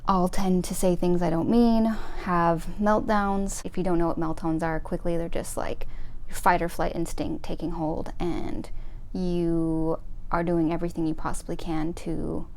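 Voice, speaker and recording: A young woman, talking to the camera in a flat, monotone way about her own life. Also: fairly low pitch, measured pace. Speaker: vlogger. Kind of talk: personal talk to camera.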